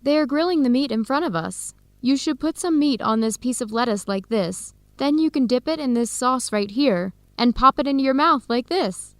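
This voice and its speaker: A woman's voice: high-pitched, expressive, normal speed, hardly any pauses, dry recording. A woman with an American accent playing a friendly young researcher in an English-learning radio drama. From United States. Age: young adult.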